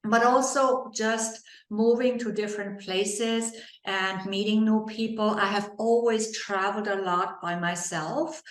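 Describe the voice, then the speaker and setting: A woman from Germany with a German accent, a guest on a podcast talking about her life in a relaxed, reflective way and laughing lightly now and then. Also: mid-range voice, unhurried, roomy sound, low-quality recording. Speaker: podcast guest, leadership coach. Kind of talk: casual interview conversation.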